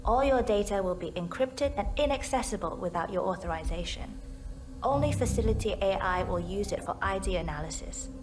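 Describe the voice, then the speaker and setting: A woman's voice: mid-range voice, expressive, fairly quick, noisy background, lightly acted. A woman as a composed official in reverberant halls. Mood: businesslike, composed. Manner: formal, courteous, composed. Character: polished, businesslike female facility official giving a guided tour. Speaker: tour guide. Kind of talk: scripted video game cutscene dialogue. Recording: long hall reverb, music behind.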